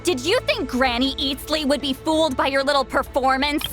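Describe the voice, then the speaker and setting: A woman's voice: high voice, steady tone, normal speed, fairly bright tone, faint music behind. A woman playing a proud, prickly centuries-old shaman in an anime-style video game, with a haughty, curt manner. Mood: haughty, threatening. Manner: haughty, curt, theatrical sighs and threats.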